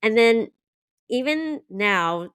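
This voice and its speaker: A woman's voice: fairly high pitch, animated, slow, a bit bright, clear, resonant tone, no room sound, clean studio sound. A young adult woman co-hosting a podcast, telling personal stories in a reflective, casual way. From United States. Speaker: podcast co-host. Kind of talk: casual chat.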